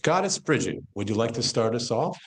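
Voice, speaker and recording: A man's voice: mid-range voice, very animated, fairly quick, fairly bright tone. A man playing the formal narrator of an amateur stage play, reading expository lines with emphatic phrasing. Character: formal male narrator moderating guests. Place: church hall, live performance.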